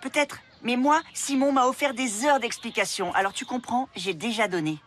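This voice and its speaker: A woman's voice: on the high side, moderate pace, slight background noise, lightly acted. A woman playing a wry, knowing, teasing schoolchild in a cartoon dub, with a dry delivery. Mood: wryly amused. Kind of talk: animated series dubbing.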